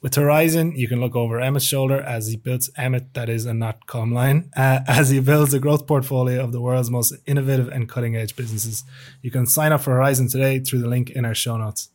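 A man's voice: medium pitch, steady tone, a little fast, darkish tone. A man hosting a podcast in a casual, conversational style. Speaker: podcast host.

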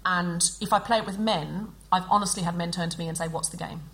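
A woman's voice: fairly low pitch, fairly even intonation, fast talker, fairly bright tone. Self-mocking woman hosting a live comedy show. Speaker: comedy podcast host. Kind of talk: stand-up to a live audience. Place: live venue with audience.

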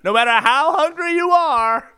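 A man co-hosting a comedy podcast, casually telling stories in a giggly, excitable, protesting manner. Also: very high voice, moderate pace. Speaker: podcast co-host.

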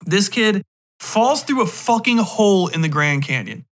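A man's voice: high-pitched, very animated, measured pace. An American man hosting a podcast in a casual, comedic rant, digressing often, swearing and chuckling as he talks. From United States, Ohio. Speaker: podcast host.

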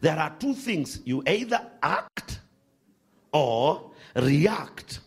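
A man preaching a sermon to a congregation, animated, swinging from shouts to hushed asides. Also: a little high, drawn-out words, breathy edge.

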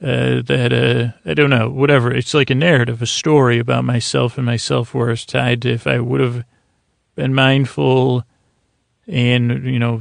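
A man with a creaky, gravelly voice hosting a sleep podcast, giving a halting, meandering, soothing bedtime monologue full of digressions. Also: medium pitch, no room sound, studio quality. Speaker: podcast host. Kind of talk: rambling bedtime monologue for falling asleep.

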